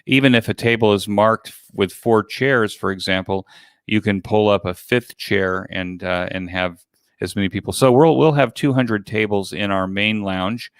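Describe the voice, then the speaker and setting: Low, smooth-voiced man explaining things conversationally. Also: moderate pace, no room sound, clean studio sound. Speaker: interview guest, online event organizer.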